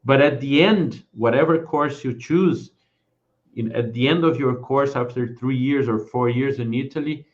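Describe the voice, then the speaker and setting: A man's voice: medium pitch, moderate pace, dull audio. A man interviewing a guest, adding enthusiastic comments and often saying "you know".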